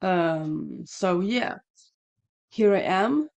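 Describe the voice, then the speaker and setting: A woman's voice: on the low side, lively intonation, very slow, gravelly, a little mellow. A woman with a Swedish accent, native Swedish speaker, chatting casually at home in a morning vlog, rambling and correcting herself, slightly sniffly as if coming down with a cold. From Sweden. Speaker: shamanism vlogger. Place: home indoors, dry room. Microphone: headphones with mic.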